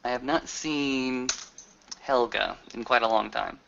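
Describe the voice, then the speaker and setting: A man improvising in-character exposition in a tabletop roleplaying game, with a halting, hesitant delivery. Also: mid-range voice, gravelly, fairly bright tone, a little hiss or hum. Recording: dull lo-fi audio.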